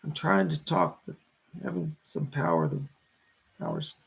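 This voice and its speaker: A man voicing a weak-voiced, remorseful male spirit in a trance séance, halting, earnest and tender, with a breathy, gravelly voice. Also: fairly high pitch, wildly swooping pitch, heavily acted, poor audio.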